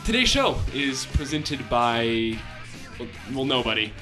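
A man's voice: medium pitch, sing-song, over music. Young man with a polished radio voice. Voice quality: practiced radio voice. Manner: quick-witted, jokey, self-deprecating. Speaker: podcast co-host and radio host. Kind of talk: casual sports podcast banter.

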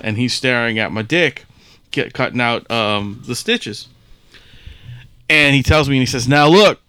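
Bright, clear-voiced man telling crude stories. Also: mid-range voice, dry recording. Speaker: podcast co-host. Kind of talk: casual, profane comedic storytelling.

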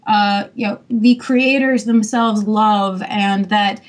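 A woman's voice: mid-range voice, fairly even intonation, slow pace. A woman publisher talking frankly and emphatically on a podcast panel, with many fillers. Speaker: publisher and editor.

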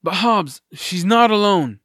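A man's voice: sits high, expressive, measured pace, fairly bright tone, dry recording, clean studio sound, exaggerated voice. A man playing an earnest, anxious young hero in an audio drama, wisecracking and thinking aloud in a slightly jittery way. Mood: urgent, anxious.

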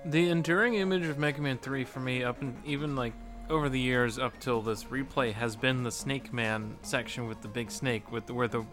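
A man's voice: mid-range voice, steady tone, normal speed, hardly any pauses, music in the background. A man co-hosting a podcast, chatting informally and jokingly about a video game. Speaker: podcast co-host.

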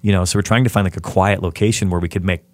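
Low-voiced relaxed male interviewer. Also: racing, no echo. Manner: relaxed, talkative, asks long questions. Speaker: interviewer, fellow musician. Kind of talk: casual interview chat.

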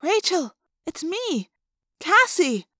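A woman's voice: extremely high pitch, big pitch swings, takes it slowly, background noise, pitched above the performer's own voice, full character voice. A woman voicing a loyal, worried young girl in an audiobook, earnest and pleading as she steadies a friend. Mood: reassuring.

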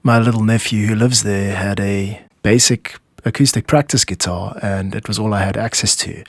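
Low-voiced man hosting in a dry studio. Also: fairly even intonation, moderate pace, no echo. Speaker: podcast host and music composer. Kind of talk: scripted explanatory narration.

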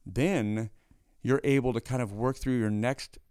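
A man's voice: mid-range voice, steady tone, unhurried, darkish tone, clear, resonant tone, no room sound, studio quality. A middle-aged man, a pastor, hosting a casual interview in a chatty, joking way with many restarts. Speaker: pastor and host.

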